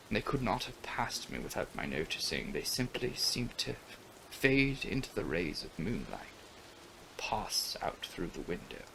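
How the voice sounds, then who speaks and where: A man giving a theatrical, intense and often breathy dramatic reading of gothic fiction as an audiobook narrator. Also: low-pitched, background noise. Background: music.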